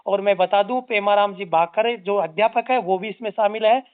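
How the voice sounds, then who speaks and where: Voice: medium pitch, normal speed, clear, resonant tone. An activist giving a formal, stern, articulate admonishment over the phone. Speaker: anti-drug social organisation activist. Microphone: phone line. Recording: tinny narrowband phone-call recording.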